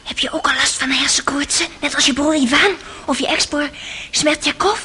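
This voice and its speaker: High-voiced, mischievous young woman. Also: steady tone, moderate pace, pushed and bright, a little hiss or hum. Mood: teasing, urgent. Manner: mocking, provocative, dropping into whispers. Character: mischievous, taunting young woman relishing scandal. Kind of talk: radio drama acting.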